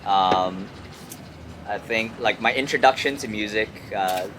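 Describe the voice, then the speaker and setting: A young man from Hong Kong chatting casually as an interview guest, halting and slangy, often repeating words. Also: on the low side. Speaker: music artist, interview guest. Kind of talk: casual chat. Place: outdoors on an urban rooftop. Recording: clear outdoor city traffic noise.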